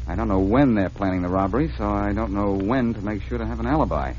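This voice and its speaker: An actor in a 1940s radio drama playing a cocky, wry, unflappable tough adventurer. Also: male voice, lowish voice, quick pace, darkish tone, lightly acted. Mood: cool, sardonic. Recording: muffled, noisy old 1940s radio recording.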